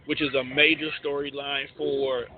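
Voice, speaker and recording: A man's voice: mid-range voice, level tone, normal speed. A man hosting a casual radio show, explaining things in slangy, emphatic phrasing. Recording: tinny phone-like line, faint noise.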